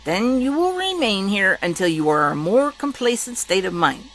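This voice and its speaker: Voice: mid-range voice, sing-song, normal speed, a bit bright, soft background music, pitched above the performer's own voice. A reader voicing a stern, domineering older father who speaks broken English. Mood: commanding.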